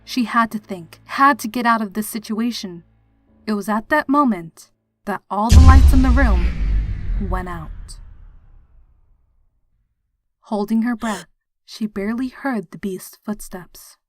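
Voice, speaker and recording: A woman's voice: a little high, unhurried, long pauses. A woman reading a horror story aloud as a scripted, dramatic narrator. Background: background music.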